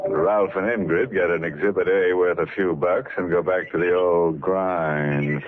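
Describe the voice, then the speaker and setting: An actor playing a ghoulishly jovial horror show host, sardonic and drawn out, with macabre glee. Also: male voice, low-pitched, steady tone, normal speed, a little hiss or hum, lightly acted. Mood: macabre amusement. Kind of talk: 1940s radio horror hosting. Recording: lo-fi vintage radio with music behind.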